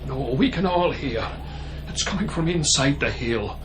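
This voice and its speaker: An actor playing an alarmed, commanding village parson, urgent and halting, in a radio horror drama. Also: male voice, mid-range voice, lively intonation, music in the background, close to an everyday voice. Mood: uneasy.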